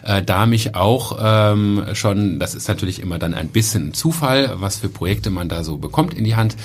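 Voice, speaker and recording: A man talking calmly about his training and career as an architect, with frequent fillers. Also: lowish voice, moderate pace. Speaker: architect and festival co-organizer. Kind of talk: casual podcast conversation.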